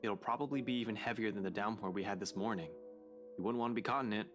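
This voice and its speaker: An actor playing a gruff, stoic man in a visual novel, speaking in an understated, serious way. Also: on the low side, fast, faint music behind, lightly acted. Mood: concerned.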